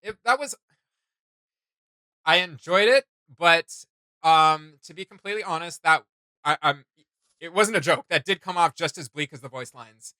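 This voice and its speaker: A man's voice: on the high side, moderate pace, pauses often, pushed and bright, dry recording. A man hosting a reaction video, commenting casually in informal, slangy phrasing. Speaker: YouTube reaction host. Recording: music playing behind the voice. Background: music.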